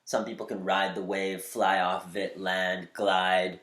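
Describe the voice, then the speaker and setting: A man with a slightly nasal voice giving upbeat, spiritual advice on using your voice, shifting between quick bursts and slow, deliberate lines. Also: low-pitched, little pitch movement, fairly bright tone, roomy sound. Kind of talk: motivational talk to camera.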